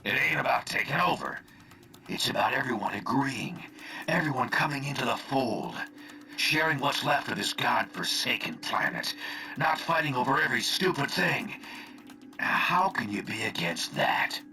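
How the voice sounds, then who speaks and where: An actor playing a veteran spymaster turned villain, colloquial and coolly persuasive, with menace, in a game cutscene. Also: male voice, mid-range voice, expressive, moderate pace, vocal fry, bright, forceful tone, exaggerated voice. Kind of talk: dramatic video game cutscene acting.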